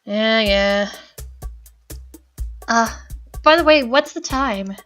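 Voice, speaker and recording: A chatty woman host reads a game's narration aloud and riffs on it between lines, her voice bright and a touch high. Faint game music plays behind her. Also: slow pace. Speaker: let's play host. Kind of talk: reading game text aloud with casual commentary.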